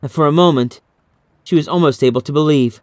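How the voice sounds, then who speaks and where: A man's voice: fairly high pitch, brisk, no room sound, studio quality. A man reading a horror story as an audiobook narrator, in a dramatic scripted style.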